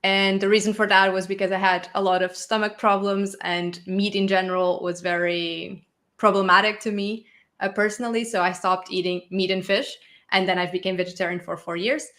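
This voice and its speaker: A woman's voice: medium pitch, steady tone, brisk, a bit bright. A woman from Portugal, a guest telling her own story in casual conversation. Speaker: interview guest, video creator. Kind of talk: casual chat, personal storytelling.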